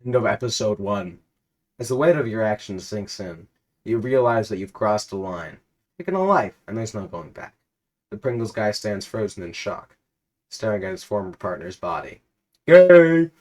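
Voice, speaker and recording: Low-voiced man narrating a comic story. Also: animated, normal speed. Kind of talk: dramatic comedic narration in second person.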